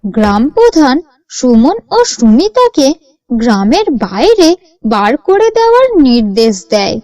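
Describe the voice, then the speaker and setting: A woman narrating a scripted cartoon story in a measured, expressive storytelling manner. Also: on the high side, dry recording.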